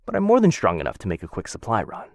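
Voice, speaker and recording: A man's voice: on the low side, wildly swooping pitch, quick pace, creaky, darkish tone, no room sound, full character voice. A man playing a possessive, courteous dragon captor who dotes on a human pet in a boyfriend ASMR fantasy roleplay, with a coaxing, condescendingly affectionate manner. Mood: confident, affectionate. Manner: mock-polite, coaxing, theatrical, condescendingly affectionate.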